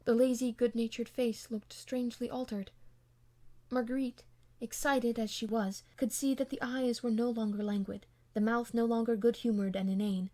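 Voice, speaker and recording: A woman's voice: a little high, flat delivery, normal speed, dark tone. A woman reading a classic novel aloud as an amateur audiobook narrator, in scripted literary style.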